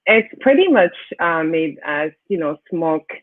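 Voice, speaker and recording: A woman's voice: low-pitched, big pitch swings, unhurried, dry recording. A woman from Cameroon answering interview questions conversationally, as a guest. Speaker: interview guest, financial services professional. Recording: narrow, thin call-like sound.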